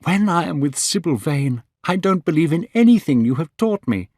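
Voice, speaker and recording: A man voicing an eager, lovestruck young man in a spoken storybook, pitched high and gushing. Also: big pitch swings, moderate pace, darkish tone, no echo, clean studio sound. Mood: excited, gushing.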